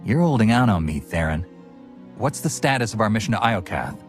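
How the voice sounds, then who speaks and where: An actor playing a resolute, principled military commander in a sci-fi game, giving clipped orders with authority. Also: male voice, fairly low pitch, big pitch swings, brisk. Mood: firm, serious. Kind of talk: cinematic video game acting. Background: music.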